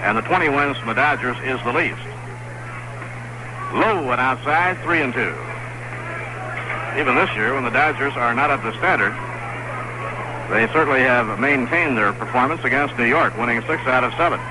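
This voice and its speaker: 1960s radio baseball announcer. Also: male voice, mid-range voice, normal speed, long pauses. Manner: steady, fact-filled calling of the game. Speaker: play-by-play announcer. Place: stadium broadcast booth. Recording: noisy 1960s radio broadcast.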